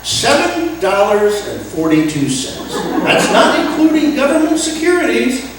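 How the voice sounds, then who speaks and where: A woman playing an excitable, penniless music maestro with a gambling habit, theatrical and wisecracking in an old-time radio sitcom. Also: high-pitched, a little slow, a crowd in the background. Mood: grandly excitable. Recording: echoey, distant stage recording, faint noise.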